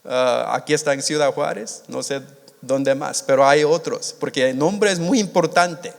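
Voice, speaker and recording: A man born in Korea and raised in the United States, preaching a sermon in a halting way, with many restarts, and stretching out the key words. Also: on the high side, vocal fry. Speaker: missionary preacher. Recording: long hall reverb.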